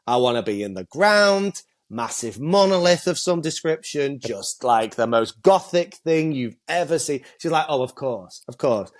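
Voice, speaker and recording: A middle-aged man, chatting casually as co-host, a bit stuffy from a cold and quick to laugh at himself. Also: a little high, expressive. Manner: chatty, self-mocking, laughs often. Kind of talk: casual chat.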